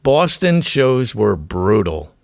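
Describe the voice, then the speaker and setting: A man's voice: on the low side, very animated, slow pace, vocal fry, music in the background. A man narrating a documentary from a script in short, emphatic, profane phrases.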